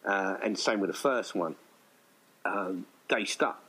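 Deep-voiced, rambling middle-aged man. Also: slow pace, a bit bright, slightly rough, low-quality recording. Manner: rambling, conversational, often circling back. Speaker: guest filmmaker being interviewed. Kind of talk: casual interview chat.

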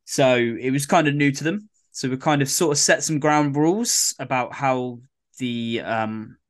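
A man co-hosting a podcast in casual chat, his voice a bit croaky, rambling with lots of fillers and restarts. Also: medium pitch, a little slow. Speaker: podcast co-host.

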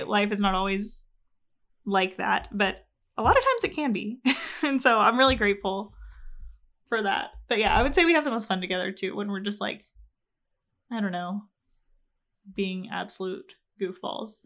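A woman's voice: mid-range voice, lively intonation, moderate pace, bright, forceful tone. A young woman hosting a podcast, chatting earnestly and openly with her husband and laughing often. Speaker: podcast host. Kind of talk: casual chat.

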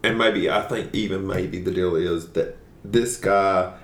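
A man's voice: low voice, fairly even intonation, a little slow, a bit bright. A man from Tennessee, a podcast guest, chats casually and fires off joking questions. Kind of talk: casual chat. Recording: echoey room.

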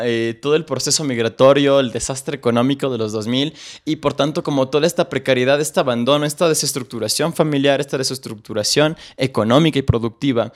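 A young man from Ecuador with a Quito accent, a guest in a conversational interview, reasoning aloud analytically with frequent hesitant fillers. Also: mid-range voice. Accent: Quito Ecuadorian Spanish. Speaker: guest, economist.

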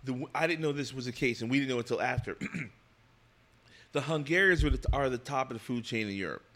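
A man's voice: mid-range voice, fast talker, fairly bright tone. A man as an interview guest, talking frankly and at length, often repeating and restarting words as he builds a point. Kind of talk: casual podcast conversation.

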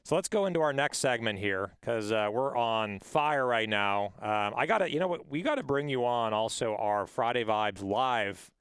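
A man's voice: medium pitch, lively intonation, normal speed, few pauses, a bit bright, clear, resonant tone, dry recording. A man hosting a podcast, upbeat and encouraging, often checking in with "right?". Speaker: podcast host. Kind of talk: casual interview chat.

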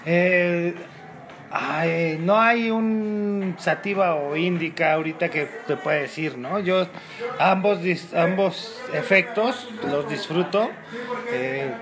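A man's voice: high voice, takes it slowly. A man with a Mexican accent chatting as a guest in an easygoing, hesitant way. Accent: Mexican Spanish. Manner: easygoing, hesitant, many fillers. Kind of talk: casual interview chat.

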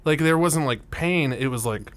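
A man's voice: fairly high pitch, moderate pace. A man co-hosting a casual comic podcast, blunt and profane, given to ranting. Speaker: podcast co-host. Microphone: podcast microphone with headphones.

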